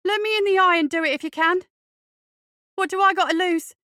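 A reckless little street urchin, played by a woman, high-pitched and loud, trembling with indignation. The boy is defiant and shrill, in a dry audiobook-style recording. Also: brisk, no echo. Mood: reckless fury.

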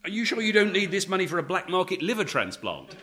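A middle-aged author and father in a radio play. He speaks with dry, sardonic wit. His voice is somewhat high, swoops about a great deal, and sounds bright. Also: big pitch swings, fast, exaggerated voice. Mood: wry.

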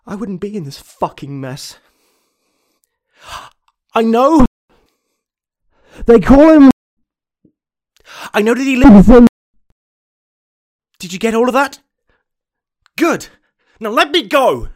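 A man playing a desperate, obsessed confessor in a horror story, halting and repeating words. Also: very high voice, very animated, darkish tone, voice pushed up, exaggerated voice. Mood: furious, agitated. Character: unstable, haunted anonymous confessor on tape, desperate and obsessed. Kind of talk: dramatic first-person horror monologue.

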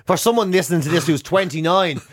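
Irish male radio co-host, middle-aged, notably high voice, self-mocking comic banter with acted-out exchanges. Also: quick pace, no echo, studio quality. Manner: self-deprecating, animated acting out of imagined exchanges.

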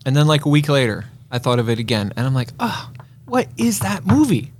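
A man's voice: mid-range voice, sing-song, a little fast. A man in his fifties co-hosting a casual, joking movie podcast. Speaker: podcast co-host. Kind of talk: casual banter about movies.

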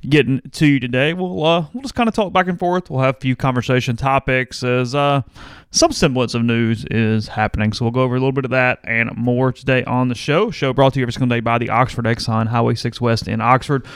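A man co-hosting a podcast in a studio, easygoing and slightly hoarse, mixing casual talk with ad reads. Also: medium pitch, fast, no room sound. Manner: relaxed, easygoing. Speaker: podcast co-host. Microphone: studio microphone.

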